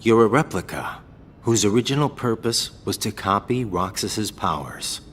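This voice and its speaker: A man's voice: animated, normal speed, a bit bright. A man voicing a cool, wry, blunt best friend in a game cutscene, with a laid-back, knowing delivery. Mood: blunt, grave. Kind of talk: dubbed game cutscene acting. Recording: reverberant hall, faint rumbling noise.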